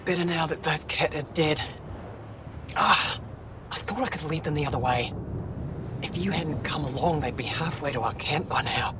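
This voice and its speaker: An actor playing a plucky alien resistance scout in a video game, earnest and rueful in manner. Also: male voice, a little high, normal speed, long pauses, close to an everyday voice. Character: plucky, self-critical young male alien resistance scout. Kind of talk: scripted video game acting. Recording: loud ambient noise behind dialogue.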